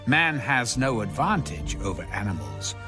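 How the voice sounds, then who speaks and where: A man giving a solemn, measured and dramatic narration of scripture. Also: mid-range voice, sing-song. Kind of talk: solemn audio Bible narration. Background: background music.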